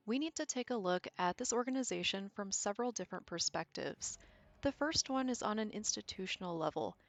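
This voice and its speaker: A woman with a slightly scratchy, allergy-affected voice narrates an explanatory video essay in an articulate, conversational way. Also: mid-range voice. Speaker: video creator, game lore explainer. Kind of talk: scripted video essay narration with casual asides. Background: background music.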